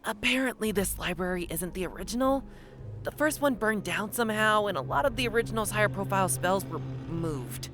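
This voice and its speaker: A woman's voice: mid-range voice, expressive, brisk, natural voice. A woman acting a troubled, evasive young character in a game roleplay drama, hesitant and defensive in delivery. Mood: guarded. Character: guarded, troubled, evasive youth keeping secrets.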